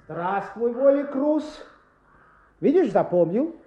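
A genial inspector in a radio drama. The voice is mellow with a lively lilt, on a dull, echoey recording. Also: male voice, very high-pitched, takes it slowly, exaggerated voice. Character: genial adult inspector, official visiting schoolchildren. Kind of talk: Soviet radio play acting.

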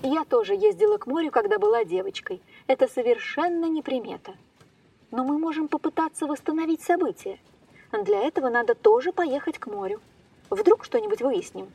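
A woman playing a lively, playful woman turned into a train in a children's fairy-tale podcast. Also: high-pitched, gravelly, recorded from a distance, full character voice. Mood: brisk, eager. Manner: animated, exclamatory, playful.

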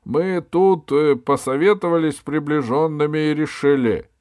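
A man voicing a hot-tempered, imperious king in a storybook, booming and pompous. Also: normal speed, a little mellow, clean tone, no echo, clean studio sound, lightly acted. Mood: pompous, declaring.

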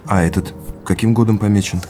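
Low-voiced, earnest young man played by an actor. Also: fairly even intonation, brisk, mellow, a little hiss or hum, close to an everyday voice. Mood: pensive, absorbed. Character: earnest, reflective young man researching his ancestor. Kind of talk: audio drama acting.

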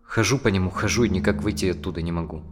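An actor playing a tense, dissatisfied male therapy client in his thirties, speaking haltingly in a naturalistic audio drama. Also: sits low, lightly acted. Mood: tired, dejected. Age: 30s. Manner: hesitant, halting, sighing speech.

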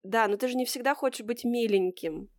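A woman's voice: fairly high pitch, brisk, no room sound. Hesitant woman podcaster, dry sound. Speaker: podcast co-host. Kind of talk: casual chat, personal recollections.

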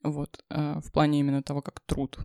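A young woman, an English teacher, telling her story in a casual chat, with many fillers and quick laughs. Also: sits low, monotone, warm tone, no echo, clean studio sound. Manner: fast, chatty, lots of fillers and laughs.

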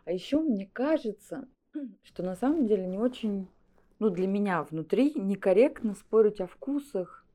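A woman's voice: mid-range voice, lively intonation, moderate pace, dark tone. A woman giving her opinions conversationally as a director invited to an interview. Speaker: animation studio guest, director.

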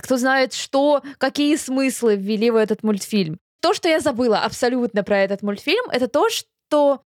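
A woman's voice: fairly high pitch, no room sound, clean studio sound. A young woman chatting casually as a podcast co-host, talking informally with slang and English loanwords. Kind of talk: casual chat about films.

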